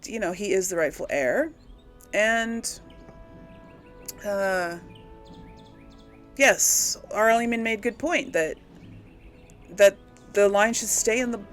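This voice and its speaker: A woman's voice: mid-range voice, fairly bright tone. A woman hosting a casual let's play, musing and thinking aloud as she goes. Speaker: gaming video host. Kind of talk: casual let's play commentary, some role-played replies.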